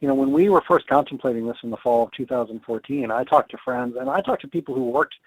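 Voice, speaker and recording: A man's voice: mid-range voice, fast talker, dry recording, rough recording. Man losing his voice, dry sound. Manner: conversational, many fillers and restarts. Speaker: tobacco control advocate presenter. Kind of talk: webinar presentation and Q&A answers.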